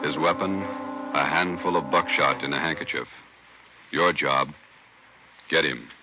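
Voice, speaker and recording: A man announcing an old-time radio show in grave, measured, formal scripted narration. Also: rumbling low, muffled recording. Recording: band-limited old radio recording, loud music.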